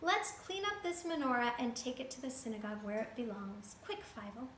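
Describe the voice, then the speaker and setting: A woman voicing a foolish, excitable village man in a storybook reading. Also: a little high, fairly quick, reverberant, slight background noise. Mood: excited.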